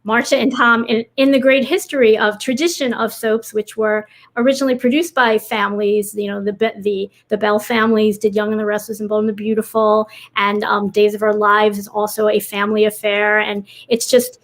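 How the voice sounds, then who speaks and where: A woman's voice: medium pitch, little pitch movement, brisk, hardly any pauses. A woman speaking effusively as a screenwriter guest in a casual interview over a video call, often restarting her phrases.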